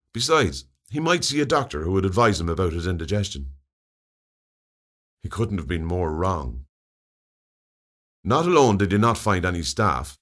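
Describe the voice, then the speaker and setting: A man with an Irish accent reading a comic short story aloud in an expressive, wry way. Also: low voice. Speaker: story reader.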